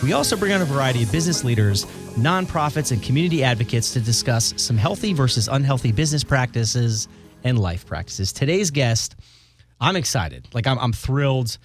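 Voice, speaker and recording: A man hosting a podcast, talking in an enthusiastic, jokey way with a lively sing-song intro voice. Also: medium pitch, brisk, soft background music. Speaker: podcast host. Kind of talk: casual interview chat.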